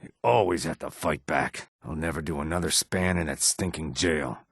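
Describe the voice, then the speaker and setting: A reptilian assassin from a fantasy video game, speaks from deep in his register. His voice is rough and husky, with deliberate phrasing. The sound is close and dry, with no room around it. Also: no echo, heavily acted. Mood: muttering, grumbling. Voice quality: husky, rasping. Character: low-voiced male reptilian Argonian assassin and outlaw. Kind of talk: video game character dialogue.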